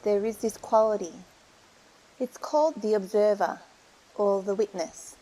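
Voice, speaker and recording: A woman leading a guided meditation, giving soothing, unhurried instructions with pauses between phrases. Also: mid-range voice, dark tone, low-quality recording.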